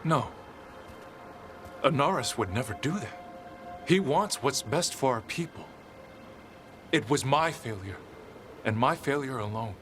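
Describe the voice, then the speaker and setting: An actor playing a devout, proud elven zealot in a game cutscene, speaking in a formal, grave and fervent manner. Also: male voice, mid-range voice, animated, measured pace, pauses often, slight background noise. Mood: ashamed, devout. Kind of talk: dramatic video game cutscene acting. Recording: loud background music.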